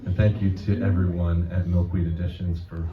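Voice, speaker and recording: A man reading his own poetry at a live event, speaking in a deadpan, measured, formal manner. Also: low voice, crowd noise. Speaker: poet reading own work. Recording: dull, roomy, distant event recording.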